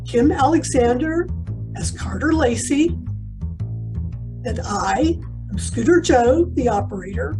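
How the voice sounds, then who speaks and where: A woman's voice: high voice, expressive, slow pace, pauses often. A woman announcer reading scripted credits in the style of an old-time radio show. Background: guitar music.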